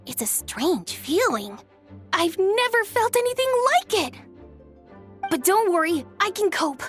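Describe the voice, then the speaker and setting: A woman voicing an earnest, gentle child in a game, sincere and a little hesitant. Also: very high voice, big pitch swings, a little slow, exaggerated voice. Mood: nervous, excited. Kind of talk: scripted English game voice acting. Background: soft background music.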